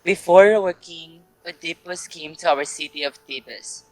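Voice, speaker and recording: A man's voice: a little high, unhurried, no echo, low-quality recording. A man playing a grave, reverent townsman and storyteller in a formal, dramatic reading of a Greek tragedy. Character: grave Theban townsman and messenger, pleading and reverent. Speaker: narrator and chorus actor. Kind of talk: staged readers' theatre of Greek tragedy.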